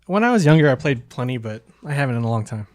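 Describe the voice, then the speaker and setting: A man's voice: medium pitch, sing-song, fast, no room sound. A man hosting a podcast in a casual, conversational way. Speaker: podcast host.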